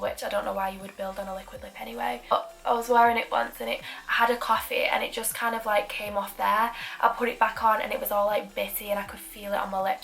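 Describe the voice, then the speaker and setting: A woman's voice: a little high, vocal fry, fairly bright tone. A young woman with a Manchester accent, chatting through a makeup tutorial with self-deprecating asides. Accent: Mancunian. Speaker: beauty vlogger.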